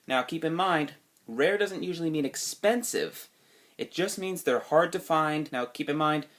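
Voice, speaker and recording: A man with a slightly sniffly voice from a recent cold hosts his own casual video. He talks loosely and unscripted, restarting sentences and filling pauses with "uh" and "um.". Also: fairly high pitch, a bit bright. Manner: rambling, many fillers and restarts. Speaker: video game collector vlogger.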